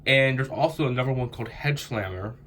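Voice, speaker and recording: A man's voice: mid-range voice, a little fast, fairly bright tone, slight background noise. A young man with a stuffy, congested voice from a cold, casually explaining puzzle steps in a loose, rambling way. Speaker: cubing tutorial host.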